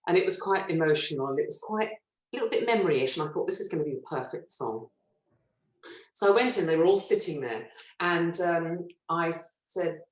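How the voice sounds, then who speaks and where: A middle-aged woman from Britain, a stage actress telling lively, self-mocking stories in an interview and laughing often. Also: on the low side. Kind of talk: relaxed anecdotal interview chat. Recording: roomy echo.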